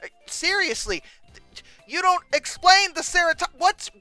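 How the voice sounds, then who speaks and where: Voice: very high-pitched, animated, unhurried, bright, forceful tone, no room sound, faint music behind. A host giving casual comedic commentary in an exasperated, sarcastic and incredulous manner. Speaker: host reacting to old book text and pictures. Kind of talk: casual comedic commentary with read-aloud passages.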